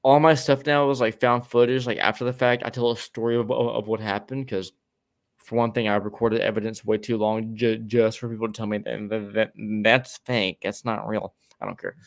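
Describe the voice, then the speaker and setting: A man's voice: fairly low pitch, steady tone, normal speed. A man co-hosting a podcast in loose, rambling casual chat, with casual swearing and lots of restarts. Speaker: podcast co-host.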